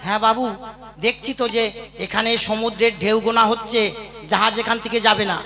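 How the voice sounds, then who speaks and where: A man's voice: sits high, flat delivery, brisk, crowd noise. A man voicing an anxious ship trader in a puppet cartoon who begs and haggles with an official. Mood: pleading. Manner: pleading, worried, haggling. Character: anxious male ship trader carrying produce. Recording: voice over loud music.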